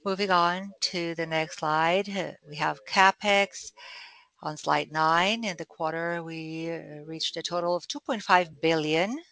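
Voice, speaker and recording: A hoarse man, a chief financial officer, presenting quarterly financial figures on an earnings call with occasional fillers. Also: on the high side, measured pace. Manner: steady reading of figures with fillers.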